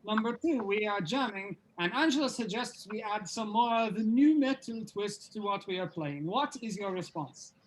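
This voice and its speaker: A performer in a comedy table read playing a pompous, grandiose quizmaster of a heavy metal band, with deadpan formality. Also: medium pitch, normal speed, few pauses, slight background noise, lightly acted, poor audio. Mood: officious.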